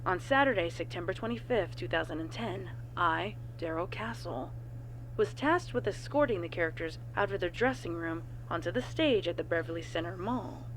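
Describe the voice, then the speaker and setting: A woman reading a scripted report in a formal, measured way as a narrator. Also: lowish voice, expressive, slight background noise, low-quality recording. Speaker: narrator of a horror fan fiction reading.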